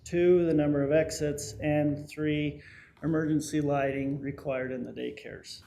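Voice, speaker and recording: Man, council presentation, unhurried, gravelly, mellow, faint hiss. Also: fairly high pitch, little pitch movement. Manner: deliberate, reads statute aloud, self-conscious about loud voice. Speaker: assistant fire chief presenting to council. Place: city council chamber.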